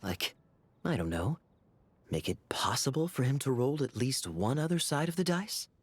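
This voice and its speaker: A sly, teasing knight. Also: male voice, mid-range voice, sing-song, normal speed, husky, dry recording. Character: wry, charming adult male knight. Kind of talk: game voice acting.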